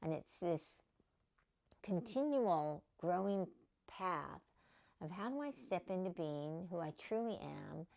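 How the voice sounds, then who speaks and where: A woman's voice: on the low side, expressive, slow pace, creaky, a little mellow. A middle-aged woman hosting a spiritual talk, speaking casually and often checking in with "okay?" and "you know". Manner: conversational, many fillers and "okay?" check-ins. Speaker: spiritual teacher and podcast host. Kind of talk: casual spiritual teaching monologue.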